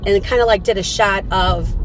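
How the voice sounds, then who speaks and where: A woman hosting a casual morning livestream, chatty and upbeat, her voice slightly raspy from a cold. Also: mid-range voice, normal speed, slight background noise, rough recording. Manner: upbeat, chatty, greets viewers by name. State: fighting off a cold. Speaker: livestream host.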